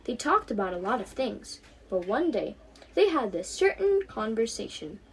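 A teenage girl reading her own story aloud as an expressive storybook narrator. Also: a little high, normal speed, slight background noise, low-quality recording.

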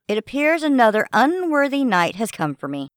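A man's voice: very high-pitched, sing-song, normal speed, a bit bright, dry recording, clean studio sound, pitched above the performer's own voice, full character voice. A man voicing a spoiled, haughty female talking sword princess, nagging and indignant, in a fantasy audiobook. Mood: haughty mocking.

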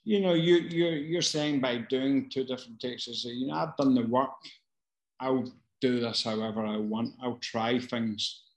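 Casting note: man, casual casting-director Q&A, hesitant and restarting, mid-pitched, roomy echo. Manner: halting, many fillers and restarts. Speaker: casting director answering questions. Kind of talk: casual Q&A advice.

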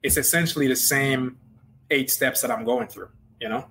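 A man, a business coach, answering interview questions in an energetic, conversational way with many fillers and restarts. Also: medium pitch, moderate pace.

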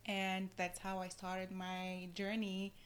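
Slow, earnest woman from Tunisia. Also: mid-range voice, completely flat delivery, a little hiss or hum. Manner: earnest, reflective, slow and deliberate. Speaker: podcast guest. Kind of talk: casual podcast interview.

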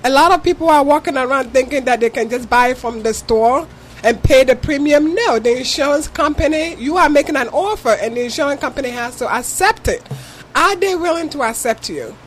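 A woman's voice: sits high, animated, fairly quick, slight background noise. Ghanaian woman explaining insurance. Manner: earnest, explains patiently with examples. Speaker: insurance agent guest. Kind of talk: interview answers.

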